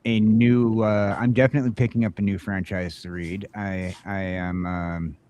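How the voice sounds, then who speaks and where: Male podcast host, lowish voice, casual, halting delivery full of ums. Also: little pitch movement, warm tone. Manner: halting, many fillers and restarts. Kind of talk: casual chat.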